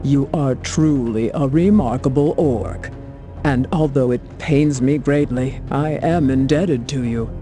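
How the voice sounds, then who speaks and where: A man playing a stately, reluctant dragon ally in an animated game, formal and measured. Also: mid-range voice, faint music behind, lightly acted. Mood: grave, grudging. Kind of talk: animated adventure game voice acting.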